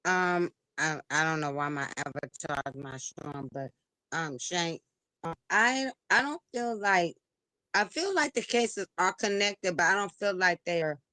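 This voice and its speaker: A woman's voice: lowish voice, animated, unhurried, bright, forceful tone, dry recording, low-quality recording. Hesitant woman calling into a stream. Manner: hesitant, many restarts and fillers. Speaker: livestream caller. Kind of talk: call-in commentary. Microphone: remote call into a streaming studio.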